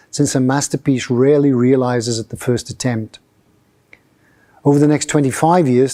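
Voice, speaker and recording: Guy in his 60s giving a heartfelt thank-you, warm low tone. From Yorkshire, England. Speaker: whisky distiller. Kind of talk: recorded acceptance speech.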